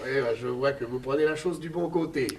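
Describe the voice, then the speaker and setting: An actor playing a smug, genteel captor with unctuous, over-courteous manners, in a 1960s French radio serial. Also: male voice, mid-range voice, fairly quick, recorded from a distance, natural voice. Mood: courteous menace. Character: suavely polite male villain, smug genteel captor.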